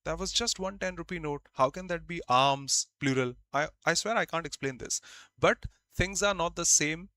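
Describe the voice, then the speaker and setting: Emphatic teacher, bright voice. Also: male voice, on the high side, normal speed, no echo. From India. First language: Hindi. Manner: emphatic, repeats key words, interactive. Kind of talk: online grammar class.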